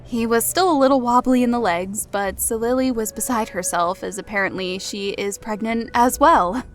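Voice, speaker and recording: Playful young fantasy heroine, acted by a woman, highish voice. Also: normal speed, a little hiss or hum, close to an everyday voice. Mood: amused, sheepish. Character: Olivara, pregnant woman, playful, sheepish, easygoing. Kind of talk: fantasy audiobook dialogue over music.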